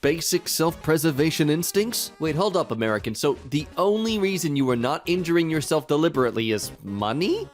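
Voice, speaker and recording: A man reading online posts and replies aloud in a lively, sarcastic way, played inside a reaction video. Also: faint music behind. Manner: lively, sarcastic comment reading with quick jumps in pitch. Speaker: narrator reading online comments.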